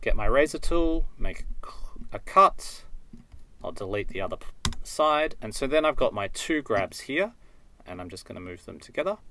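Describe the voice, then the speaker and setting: A man giving an unscripted software tutorial, thinking aloud as he works through it step by step. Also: fairly low pitch, very animated, normal speed. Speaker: video editing tutor.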